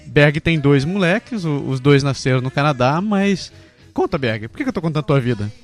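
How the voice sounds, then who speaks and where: A man's voice: a little high, lively intonation, fairly quick, soft background music. A Brazilian man hosting a casual podcast, telling his own story and passing questions to his co-hosts. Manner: friendly, leads the talk with questions. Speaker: podcast host.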